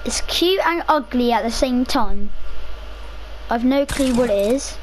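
A young woman chatting casually over her own gameplay, rambling to herself, her voice a little rough from a cough. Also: fairly high pitch, lively intonation, a little slow, a little hiss or hum, rough recording. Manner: chatty, rambling, self-talking. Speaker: gaming video creator.

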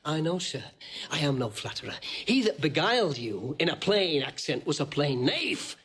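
A blunt old nobleman in a Shakespearean audio play, high-pitched for a man. He is a hot-tempered brawler who declaims his insults with scorn. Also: big pitch swings, normal speed, a bit bright. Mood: sardonic. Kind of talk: Shakespearean audio drama acting.

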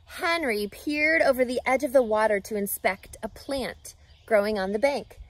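A woman reads a children's story aloud, expressive and emphatic, addressing young listeners. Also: a little high, normal speed, a little hiss or hum. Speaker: reading teacher, storyteller. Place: outdoors in a grassy lakeside yard.

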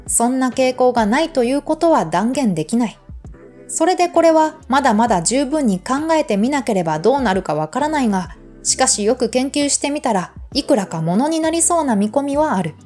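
Female audiobook narrator, high voice, formal measured essay reading over background music. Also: darkish tone.

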